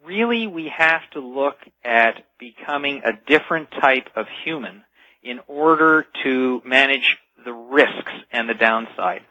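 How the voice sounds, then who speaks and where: Bright-voiced man on a dull phone line. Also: mid-range voice, animated, measured pace, dry recording. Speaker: interview guest, author. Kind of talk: explanatory radio interview by phone. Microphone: telephone. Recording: dull, band-limited telephone line.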